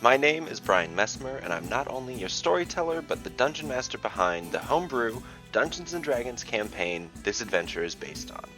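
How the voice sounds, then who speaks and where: A man reading scripted fantasy narration as a podcast storyteller, in a measured, dramatic way. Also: mid-range voice, sing-song, music in the background, poor audio. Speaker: storyteller and dungeon master, podcast host.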